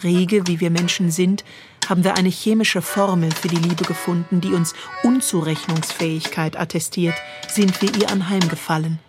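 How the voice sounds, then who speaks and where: A woman performs a spoken-word recitation of poetic prose. Her voice is on the low side. Music plays clearly behind her words. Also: steady tone, normal speed.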